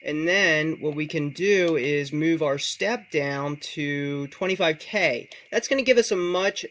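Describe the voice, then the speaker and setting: A man's voice: a little high, a little slow, fairly bright tone. A man presenting a casual, step-by-step tech tutorial, explaining things directly to the viewer.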